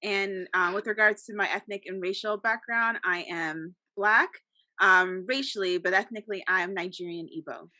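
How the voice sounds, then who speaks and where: A woman from the United States speaking as a panel guest. Her voice is bright and clear, and she talks in an articulate, measured way. The recording is dry, with no room sound. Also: medium pitch, no echo. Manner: articulate, measured, uses fillers. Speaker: guest, psychology PhD candidate. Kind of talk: casual panel conversation.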